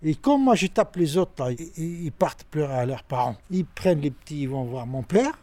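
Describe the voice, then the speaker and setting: A man in his sixties with a Tunisian accent, telling stories about his childhood in a halting, animated way. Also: dry recording. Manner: animated, halting, with sudden pitch jumps. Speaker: interviewee. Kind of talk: personal storytelling.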